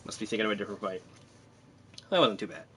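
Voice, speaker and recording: A man's voice: fairly low pitch, fast talker, a bit bright, a little hiss or hum. A man casually commentating on his live game stream, chatty and self-deprecating, thinking aloud as he plays.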